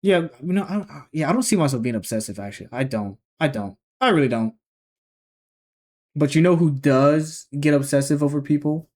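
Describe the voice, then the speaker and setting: A man's voice: a little high, expressive, normal speed, a little mellow. A man in his twenties gives casual reaction commentary, thinking aloud and restarting his sentences. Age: 20s. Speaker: reaction video host.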